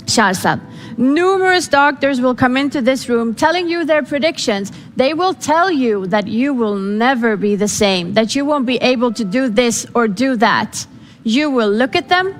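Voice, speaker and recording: Woman telling a story in an echoey hall. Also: a little high. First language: Farsi. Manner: dramatic, acts out quoted voices. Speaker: speaker. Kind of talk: storytelling speech. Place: event hall.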